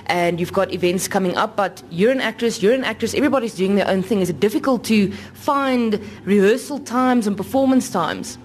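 Brisk woman radio interviewer. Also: medium pitch, slight background noise. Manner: brisk, probing questions.

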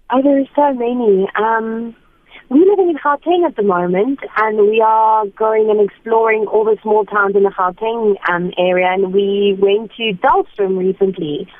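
South African actress on a tinny line. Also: female voice, mid-range voice, dry recording. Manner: chatty, laughs often, quick asides. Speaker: actress guest. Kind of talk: casual interview chat.

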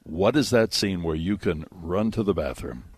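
Husky, low-voiced man hosting a show. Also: lively intonation, moderate pace, dry recording. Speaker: radio show host. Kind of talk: casual chat.

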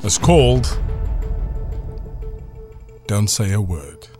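A man's voice: lowish voice, big pitch swings, drawn-out words, dark tone, faint music behind. A man running a radio quiz, reading out clues and laughing along with the players. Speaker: quizmaster.

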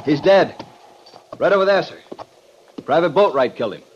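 A muffled, lightly hissy 1950s radio western has a man as a dutiful junior officer. His voice sits fairly high, and he reports in urgent, respectful military phrases. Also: animated, normal speed, close to an everyday voice.

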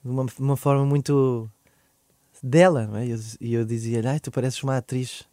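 Animated Portuguese man telling a story. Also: medium pitch, no room sound, clean studio sound. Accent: European Portuguese. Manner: animated, laughing, quick bursts then trailing off softly. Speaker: podcast guest, singer. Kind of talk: casual interview storytelling.

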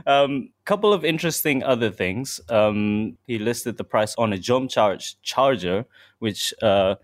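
A man presenting the car news on a radio show, relaxed and chatty with many fillers. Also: medium pitch, unhurried. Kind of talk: casual radio chat.

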